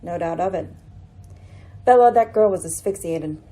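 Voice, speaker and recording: A woman acts as a lady detective in a radio mystery drama. She is composed and dryly ironic, with a mellow, slightly rough voice and poised, formal phrasing. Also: fairly low pitch, moderate pace, close to an everyday voice, rough recording. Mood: pensive. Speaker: actor in an amateur radio play.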